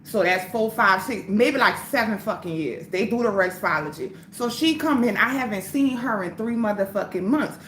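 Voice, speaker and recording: A woman's voice: mid-range voice, moderate pace, hardly any pauses, fairly bright tone, echoey room, slight background noise, low-quality recording. A woman hosting a livestream, ranting in a heated, profane, preachy way. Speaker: livestream host.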